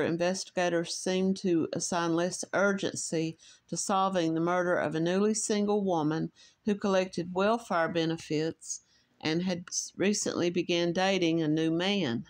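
Woman, Appalachian accent, lowish voice; true crime host reading case notes, measured and a little halting, with personal asides.

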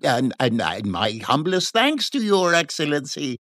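A man's voice: fairly high pitch, very animated, unhurried, a bit bright, no echo, studio quality, pitched above the performer's own voice. A man voicing a cheeky male street clown crying his wares with hawker's patter, in an audiobook. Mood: brisk, cheeky. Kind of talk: audiobook character voice.

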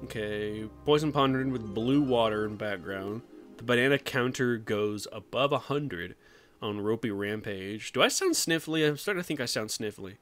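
A man streaming casually, chatting with viewers and jumping into high silly voices. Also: lively intonation, normal speed, fairly bright tone, faint music behind. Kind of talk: casual live-stream chat.

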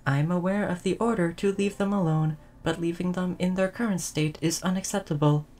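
A woman playing a gentle, dutiful female android servant in an audio roleplay, speaking in formal, polite, measured phrases. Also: lowish voice, fairly even intonation, natural voice. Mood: dutiful, concerned. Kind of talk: audio roleplay addressed to the listener.

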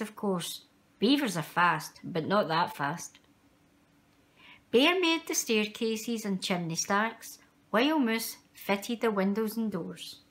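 Woman reading a children's story, very lively pitch. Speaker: storyteller reading a children's picture book aloud. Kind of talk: expressive read-aloud children's story narration.

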